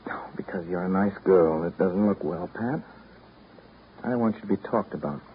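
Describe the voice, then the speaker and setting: An actor playing a reserved, brooding man in a 1930s radio drama, speaking in a measured, reflective way. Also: sits low, close to an everyday voice. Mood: reluctant, tender. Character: reserved, brooding New England man telling family memories. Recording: muffled, hissy 1930s radio recording.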